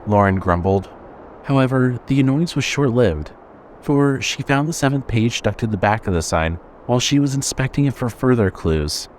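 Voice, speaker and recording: A man's voice: mid-range voice, lively intonation, normal speed, a little hiss or hum. A man reading scripted horror fiction as the narrator of an audio drama.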